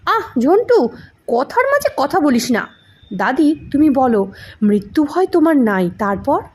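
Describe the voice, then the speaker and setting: A woman performs a bossy elder grandson in an audio story. He is sly and persuasive, mocking under a helpful air, in a high voice with animated swings. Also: unhurried. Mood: scolding. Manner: scolding, mock-helpful, persuasive.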